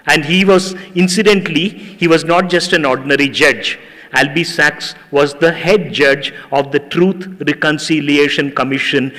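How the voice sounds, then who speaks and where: Bright-voiced man speaking in an echoey hall. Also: mid-range voice. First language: Tamil. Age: middle-aged. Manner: measured, earnest and reflective. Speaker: guest speaker. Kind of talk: formal speech at an event. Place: hall at a public ceremony. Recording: echoey hall with long reverb.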